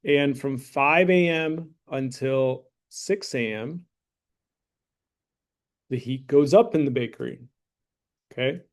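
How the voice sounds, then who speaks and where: A man teaching math to a high school class, talking through problems in a casual, joking way and checking in with the students as he goes. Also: slow pace, pauses often. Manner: joking, conversational, checks in with "right?" and "okay?". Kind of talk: classroom lesson with a mock sponsor plug.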